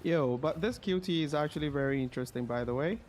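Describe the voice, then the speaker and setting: Higher-pitched man streaming a game. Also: fast talker, a little mellow, slight background noise. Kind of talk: casual live gameplay commentary.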